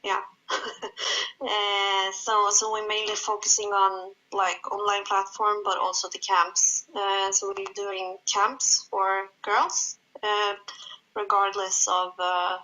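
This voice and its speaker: A woman from Sweden, speaking English as a guest in a casual interview and pausing often on fillers. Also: medium pitch, little pitch movement, bright, forceful tone, poor audio. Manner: hesitant, many fillers, encouraging. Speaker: guest, jiu-jitsu event organizer.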